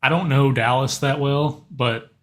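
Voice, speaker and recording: A man's voice: mid-range voice, measured pace. A man chatting casually as a co-host on a sports podcast. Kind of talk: casual chat.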